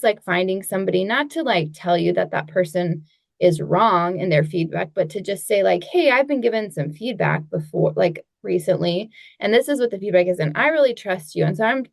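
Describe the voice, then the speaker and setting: A woman coach talks on a podcast, working through her thoughts aloud with plenty of "like"s. Her voice has a gravelly edge and sounds close and dry. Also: medium pitch, a little mellow, dry recording. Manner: reflective, thinks aloud with many "like"s and restarts. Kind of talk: casual podcast chat.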